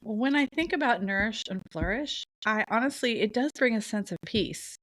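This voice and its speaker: A woman's voice: fairly high pitch, animated, normal speed, fairly bright tone, dry recording, rough recording. A woman co-hosting a podcast in casual chat, her voice stuffy and nasal from a sinus cold, talking in a chatty, rambling way with frequent laughs. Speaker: podcast co-host.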